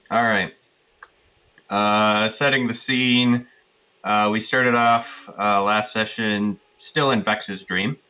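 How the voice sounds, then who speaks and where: A man's voice: lowish voice, steady tone, slow, pauses often, pushed and bright. A man who plays in a tabletop roleplaying game, giving a casual, hesitant recap from memory on slightly dull audio. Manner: hesitant, loosely recalling, hedging.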